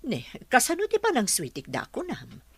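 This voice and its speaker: A woman's voice: high voice, huge pitch swings, normal speed, breathy edge, heavily acted. A woman with an Ilocano accent playing a practical, kindly village teacher and employer in an audio drama. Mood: helpful. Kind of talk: Ilocano radio-style drama acting.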